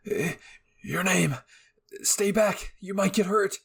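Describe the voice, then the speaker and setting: A man acts out a young male soldier from an anime fan story. He is earnest and easily frightened, with a raised, slightly gravelly voice that stumbles when he is flustered. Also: high-pitched, takes it slowly, voice pushed up, heavily acted. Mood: panicked.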